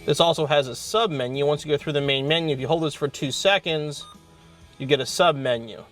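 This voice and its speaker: A man's voice: on the high side, fairly quick, a bit bright, soft background music. A man hosting an instructional car installation demonstration, explaining step by step in plain-spoken language. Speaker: host of car installation how-to videos.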